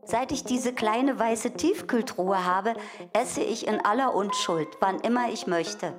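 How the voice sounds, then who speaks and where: A woman playing a calm confider of private habits in a radio play monologue, unhurried and deliberate. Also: fairly high pitch, natural voice. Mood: confiding, calm. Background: prominent music.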